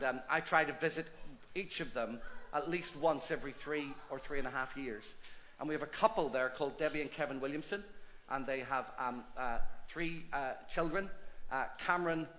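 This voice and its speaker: A man's voice: mid-range voice, moderate pace, a little hiss or hum. A middle-aged man with a Northern Irish accent, a pastor telling his story chattily on a church stage. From Northern Ireland. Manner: chatty, self-deprecating, animated. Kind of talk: stage interview and preaching.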